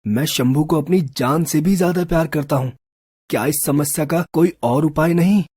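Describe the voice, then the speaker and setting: An actor voicing a kind young village man who loves animals and talks in a soft, tender way in an animated storybook. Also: on the high side, moderate pace, husky, no room sound, clean studio sound. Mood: pleading.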